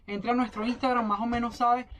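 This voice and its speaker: A man's voice: very high voice, flat delivery, fairly quick, recorded from a distance, a crowd in the background. A man who is a sailing YouTuber, chatting casually in an interview, rambling and promoting his services.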